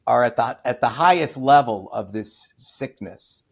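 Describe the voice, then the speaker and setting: An American man, a podcast guest and author, giving opinionated interview answers with many restarts and fillers, then bursting into loud, scornful emphasis. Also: medium pitch, lively intonation, a little slow, gravelly. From United States.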